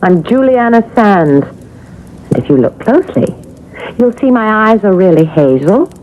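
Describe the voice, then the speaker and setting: A woman's voice: mid-range voice, big pitch swings, a little slow. A woman playing the proud, plaintive ghost of a young nineteenth-century lady in a radio drama, haughty and theatrical. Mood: matter-of-fact, insistent. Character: imploring young female ghost from the 1800s, proud and capricious. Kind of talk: 1970s radio drama acting. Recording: muffled lo-fi radio broadcast, faint noise.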